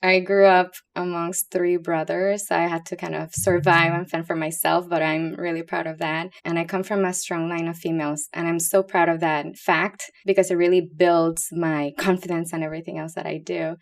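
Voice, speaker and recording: A woman's voice: lowish voice, level tone, moderate pace, hardly any pauses. A woman in her thirties with a Puerto Rican accent, speaking candidly and playfully as a guest expert in a casual podcast interview. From Puerto Rico. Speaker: guest expert, pediatric liver doctor.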